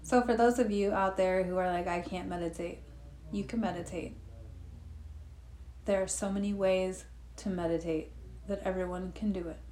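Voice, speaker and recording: A woman guiding a relaxed live meditation session, gently talking, with personal asides, sniffly from allergies. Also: medium pitch, normal speed. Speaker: meditation guide. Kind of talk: guided meditation talk. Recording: echoey room with faint noise.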